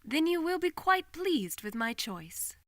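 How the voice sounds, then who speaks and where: A woman's voice: high voice, very animated, unhurried. A woman voicing a regal, playfully devilish mare princess in a fan audio drama, poised and formal. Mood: sly, pleased.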